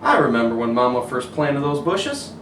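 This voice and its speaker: A man's voice: medium pitch, big pitch swings, moderate pace, fairly bright tone. A man voicing a young storybook boy, earnest and questioning, downcast but hopeful. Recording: roomy echo, distant mic, faint noise.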